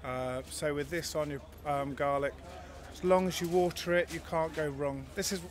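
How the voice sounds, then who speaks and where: A man's voice: on the high side, steady tone, normal speed. A man in his thirties at an outdoor show stall, casually explaining his produce into a handheld interview mic, with some fillers and restarts. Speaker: garlic farm stall worker. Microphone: handheld mic held by the interviewer.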